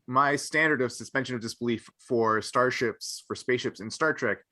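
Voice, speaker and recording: Forceful-voiced man, nerdy and opinionated. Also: medium pitch, normal speed. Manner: opinionated, nerdy, hesitant phrasing with tangents. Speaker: podcast co-host. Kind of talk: casual panel chat about a sci-fi show.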